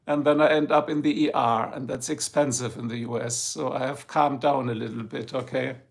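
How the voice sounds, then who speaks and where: A man's voice: medium pitch, fairly even intonation, brisk, gravelly. An older man from Germany with a German accent, hosting a rambling, jokey live stream chat from home and talking to his viewers by name. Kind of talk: casual chat. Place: home, in Ohio.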